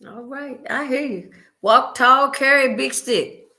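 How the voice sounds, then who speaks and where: A woman's voice: high-pitched, unhurried, bright, forceful tone. A woman hosting an interview, chatty and affirming as she talks. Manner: chatty, affirming, frequent short agreements. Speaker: host. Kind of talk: casual interview talk.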